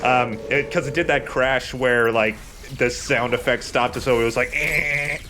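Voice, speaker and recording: A man giving casual, joking let's play commentary, wry and quick to swear. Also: medium pitch, steady tone, background noise.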